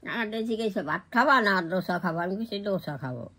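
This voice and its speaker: A woman's voice: medium pitch, animated, moderate pace, pushed and bright, breathy edge. Older woman with East Bengali accent. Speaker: grandmother. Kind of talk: casual family chat.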